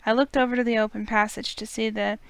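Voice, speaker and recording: A woman reading a visual novel aloud in steady first-person narration. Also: fairly high pitch, quick pace, gravelly, clean tone, no echo. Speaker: let's play reader.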